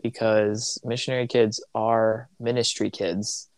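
A man's voice: on the low side, little pitch movement, unhurried, darkish tone, clear, resonant tone, dry recording. A young man who grew up in Tanzania, hosting a casual podcast chat, rambling and acting out little conversations. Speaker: podcast host.

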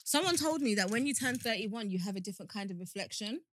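A woman's voice: mid-range voice, expressive, quick pace, slight background noise. A British woman in her thirties co-hosting a podcast in casual chat, with a playful, teasing manner. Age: 30s. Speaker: podcast co-host.

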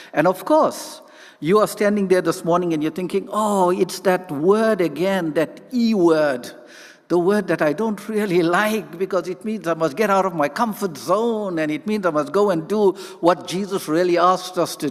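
A man's voice: on the high side, measured pace. A man from South Africa with a South African accent, preaching a passionate Pentecostal sermon in church, often restarting his sentences. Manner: passionate, rambling with restarts and laughs. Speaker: guest preacher. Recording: long church reverb.

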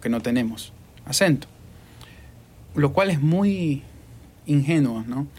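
A man from Ecuador speaks with a Guayaquil accent, the S softened into a breath. He hosts a relaxed podcast chat, full of fillers and warm praise for his guest. His voice sits a little higher than most men's, taken on a portable recorder in a small studio. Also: slow, breathy edge. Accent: Guayaquil Spanish. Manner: chatty, enthusiastic introductions with frequent fillers. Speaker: podcast host and voice-over artist. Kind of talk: casual interview podcast.

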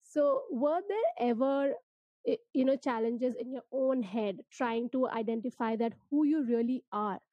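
A woman hosting an interview, asking questions in a measured, complimentary way. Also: a little high, no room sound. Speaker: host. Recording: muffled call audio.

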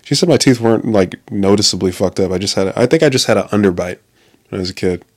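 A man's voice: fairly low pitch, lively intonation, quick pace. Rambling, swearing man in his late twenties. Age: 20s. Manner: profane, self-interrupting, laughs often. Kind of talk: casual rambling monologue.

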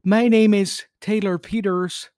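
A man's voice: high-pitched, fairly even intonation, a little slow, darkish tone, clean tone, dry recording, clean studio sound. A podcast host with a put-on Irish accent, talking on his own in a playful, theatrical way with self-mocking jokes. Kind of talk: solo podcast monologue with jokey asides.

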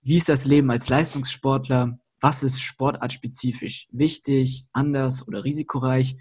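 A man's voice: mid-range voice, steady tone, normal speed, darkish tone, breathy edge. A man co-hosting a podcast, friendly and asking long, winding questions. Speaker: podcast co-host. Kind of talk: casual podcast interview.

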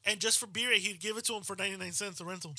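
A man's voice: high voice, rapid-fire, bright, forceful tone, no room sound. A man co-hosting a podcast in casual banter, laughing often, swearing, and acting out the people in his stories. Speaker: podcast co-host.

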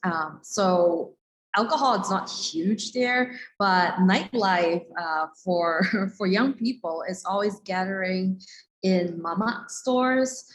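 Casting: woman from Malaysia with a middling pitch, relaxed-format interview guest who explains conversationally. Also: slow pace, low-quality recording. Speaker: guest, coffee company co-owner. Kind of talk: casual interview answers.

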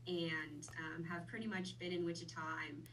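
A woman's voice: fairly low pitch, flat delivery, moderate pace, fairly bright tone, recorded from a distance, slight background noise, low-quality recording. A woman in her twenties, born in Vietnam, chatting easily as a podcast guest with plenty of fillers. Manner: friendly, easygoing, many fillers. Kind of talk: casual interview chat.

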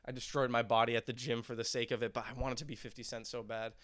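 Laid-back young man, smooth voice. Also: mid-range voice, little pitch movement, quick pace, a bit bright, no echo. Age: young adult. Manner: laid-back, rambling, laughs often. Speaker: musician guest. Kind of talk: casual podcast chat.

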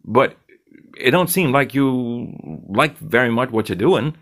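A man's voice: mid-range voice, animated, a little slow, fairly bright tone, faint music behind, pitched above the performer's own voice. A man voicing a slick, blunt male bartender who talks in a teasing, lecturing way.

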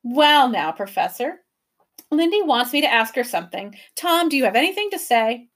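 A woman's voice: high voice, sing-song, moderate pace, a bit bright, exaggerated voice. A woman voicing a boy who chatters politely to stay brave, in a storybook reading.

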